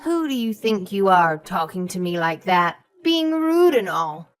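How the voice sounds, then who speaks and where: A woman's voice: mid-range voice, big pitch swings, unhurried. A woman playing a haughty, suspicious elderly mother-in-law, scornful and condescending, in a scripted audio story. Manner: condescending, sharp, calls others sweetheart and darling.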